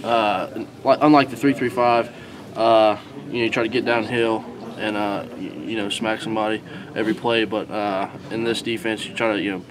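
A young man talks in a slightly low voice, pausing often and filling gaps with fillers as he answers reporters. Handheld recorders sit close to him, and the chatter of a crowded indoor scrum carries behind his voice. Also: steady tone. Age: young adult. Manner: halting, many fillers and restarts. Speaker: college football linebacker. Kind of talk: post-practice media scrum answers. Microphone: reporters' handheld recorders held close.

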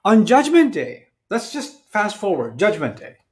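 This is a man around his forties with a notably high voice. He preaches like someone chatting across a table, animated and colloquial. He keeps tossing out rhetorical questions and slipping into acted-out asides. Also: a little slow. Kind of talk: conversational sermon with acted-out asides. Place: indoors at a lectern. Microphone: lapel mic.

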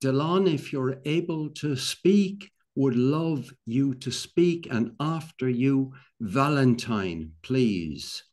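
An older man hosting an online club meeting on a video call, speaking haltingly and repeating phrases. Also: mid-range voice, lively intonation, darkish tone. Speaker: meeting host.

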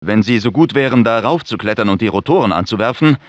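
Man acting fussy, animated scientist in dull audio. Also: fairly low pitch, quick pace, no echo, natural voice. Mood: fussy, matter-of-fact. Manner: formal, worried, precise. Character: anxious, fussy middle-aged male lab scientist. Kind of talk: German video game voice acting. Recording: dull lo-fi game audio, dry.

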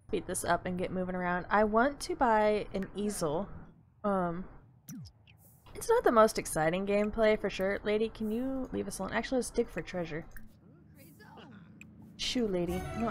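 Casting note: woman gaming streamer, easygoing and rambling, a touch raspy, faint hum under the voice. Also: medium pitch. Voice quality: slightly raspy, crackly voice. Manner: chatty, rambling, many ums and likes. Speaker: gameplay YouTuber. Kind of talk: casual gameplay commentary.

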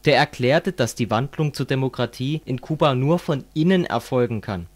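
A man's voice: medium pitch, moderate pace, natural voice. A man playing a fervent communist who lectures a friend in a didactic, declamatory way, as in an amateur radio play.

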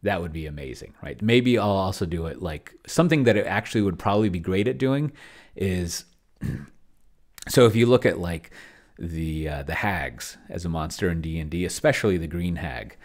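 A man's voice: low-pitched, a little mellow. A middle-aged man thinking aloud in an unscripted walkthrough, rambling and trailing off mid-thought, speaking close to a desk microphone. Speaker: video host. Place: indoor room at home, desk setup. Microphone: desk microphone close to the mouth.

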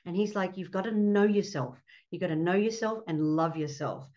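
A woman's voice: fairly low pitch, moderate pace, darkish tone. A middle-aged Australian woman, a fertility doctor, speaking earnestly as a guest on a video call from her home office, often breaking into laughter. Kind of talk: casual interview on a video call. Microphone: laptop webcam mic on a video call. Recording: dull lo-fi video call audio.

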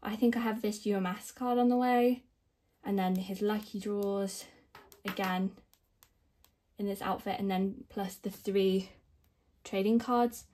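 A young woman whose voice is still croaky from screaming at a concert, talking in a loose, chatty, rambling way. She thinks out loud and makes little self-deprecating asides while she works at a table. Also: mid-range voice, fairly even intonation, unhurried, rough recording. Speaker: k-pop collector vlogger. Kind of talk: casual rambling narration over binder organizing. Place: indoors at a table, filming the binder from above.

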